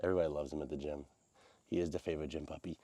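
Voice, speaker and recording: A man's voice: rumbling low, steady tone, rapid-fire, warm tone, no echo. An American man with a congested, nasal voice chats casually as a vlogger, rambling in informal, slangy language. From United States. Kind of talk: casual vlog chat.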